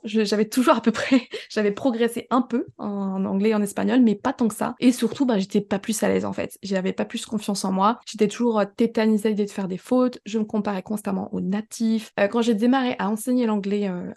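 A young adult woman, an English coach, answering interview questions casually with a hesitant, halting way of talking. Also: medium pitch, gravelly, darkish tone. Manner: hesitant, many fillers and restarts. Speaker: English coach, podcast guest.

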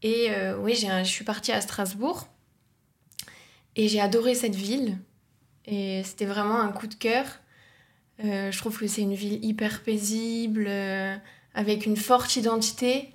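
A woman's voice: medium pitch, steady tone, measured pace. A young woman in her twenties, a guest in a podcast interview, talking at length about her path with many fillers. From near the Luxembourg border, France. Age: young adult. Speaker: guest, agency co-founder.

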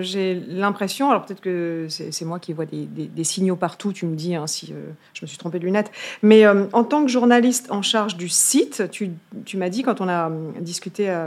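A woman's voice: medium pitch, darkish tone. Woman interviewer, searching phrasing. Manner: searching, many restarts and fillers. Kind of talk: interview conversation.

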